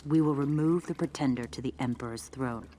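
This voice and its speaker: A woman's voice: a bass voice, a little fast, warm tone, slight background noise. Commanding, resolute female samurai leader. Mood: stern, commanding. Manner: terse, declamatory delivery. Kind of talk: dramatic video game acting.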